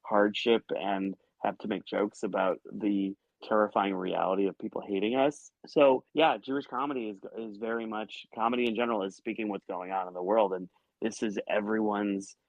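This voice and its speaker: A comedian guest chatting on a podcast, a man who talks in animated, rambling bursts and cuts himself off mid-thought. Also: on the low side, normal speed, no room sound. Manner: animated, rambling, self-interrupting. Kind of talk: casual podcast conversation. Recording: dry muffled lo-fi sound.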